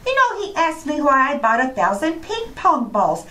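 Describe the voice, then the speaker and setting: A woman's voice: sits high, very animated, normal speed, bright, forceful tone, exaggerated voice. A woman playing a ditzy, cheerful wife who talks innocent nonsense matter-of-factly in an old-time radio comedy sketch. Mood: earnest.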